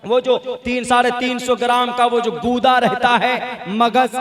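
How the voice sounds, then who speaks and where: A man's voice: very high voice, level tone, a little slow, a crowd in the background. A man preaching an Islamic sermon, telling stories and exhorting listeners with emphatic repetition. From India.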